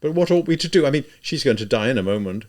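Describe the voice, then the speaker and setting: A man voicing an anxious, kindly male civil servant, speaking in a low, worried murmur. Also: animated, quick pace, lightly acted. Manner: hushed, worried muttering.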